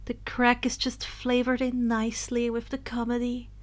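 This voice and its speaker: A woman narrating a story in a dramatic, expressive storybook style, with some phrases breathy and hushed. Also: a little high, moderate pace. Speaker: fan fiction narrator.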